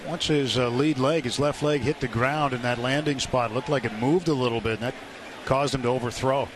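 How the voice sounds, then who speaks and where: A man's voice: mid-range voice, steady tone, brisk. A man giving live baseball analysis as a radio color commentator in a stadium booth. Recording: noisy ballpark ambience behind.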